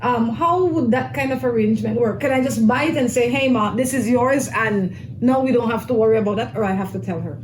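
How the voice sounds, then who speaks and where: A woman's voice: a little high, brisk, hardly any pauses. Woman host asking probing questions. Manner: probing, restates answers to check. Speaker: talk show host. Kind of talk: interviewing a guest. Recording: echoey room with noise.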